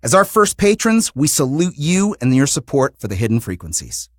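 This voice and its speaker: Man with animated, polished podcast delivery. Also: mid-range voice, normal speed, dry recording, studio quality. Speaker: podcast host and show creator. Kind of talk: scripted podcast announcement.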